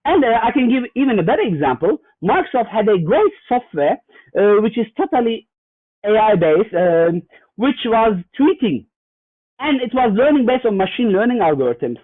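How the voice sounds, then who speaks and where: A high-pitched man from Germany speaks as a guest in a fireside chat. He explains earnestly, often pausing on fillers and restarting his sentences. His voice comes through a tinny, phone-like remote line with a dry sound. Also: very animated, no room sound. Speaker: guest cybersecurity executive.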